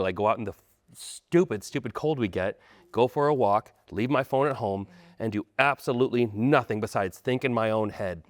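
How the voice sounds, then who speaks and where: A man in his thirties, a panel guest, who talks in a rambling, animated and conversational way. His voice is mid-pitched and recorded close and dry. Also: no echo. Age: 30s.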